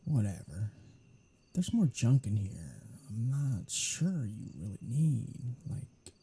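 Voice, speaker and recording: A performer playing a snarky, casually menacing vampire in a soft-spoken ASMR audio roleplay, teasing and sardonic, with a breathy, husky voice. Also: mid-range voice, big pitch swings, slow, heavily acted. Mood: dry, bantering.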